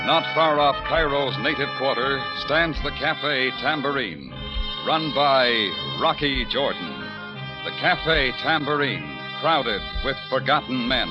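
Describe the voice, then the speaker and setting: Slow, dramatic vintage male radio announcer. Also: medium pitch. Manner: measured, theatrical. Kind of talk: dramatic 1940s radio scripted narration. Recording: muffled old radio recording, music behind.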